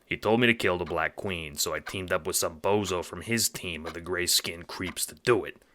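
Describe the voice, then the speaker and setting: Man doing a gruff, low gangster growl. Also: moderate pace, bright, forceful tone. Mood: grudging. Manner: growling, slangy, blunt. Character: gruff, impatient, cynical male gangster. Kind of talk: audio drama character acting.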